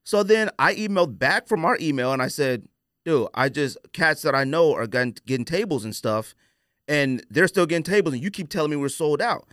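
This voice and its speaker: A man's voice: a little high, animated, brisk, a bit bright, dry recording, clean studio sound. A man hosting a podcast, telling stories in a casual, colloquial, opinionated way and acting out quoted exchanges. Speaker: podcast host.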